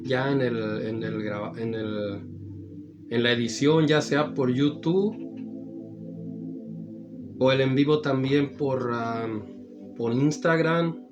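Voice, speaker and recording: A man hosting a gospel program and preaching, slightly hoarse after the flu, repeating his greetings to his brothers and friends. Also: medium pitch, steady tone, dull audio. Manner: repetitive addresses, drawn-out phrases. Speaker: evangelical preacher and program host. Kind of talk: slow, warm-toned radio-style greeting and preaching. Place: studio in Pomona, California. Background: background music.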